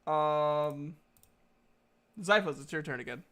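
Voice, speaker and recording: A man narrating a tabletop game as game master in a halting, informal, slangy way. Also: a little high, a bit bright.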